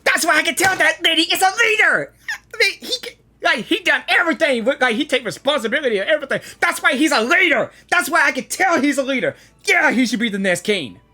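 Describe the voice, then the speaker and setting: Excitable high-voiced woman reacting. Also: fast. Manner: excitable, gushing, talks to herself. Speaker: gaming reaction streamer. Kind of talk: casual reaction commentary. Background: game audio with music.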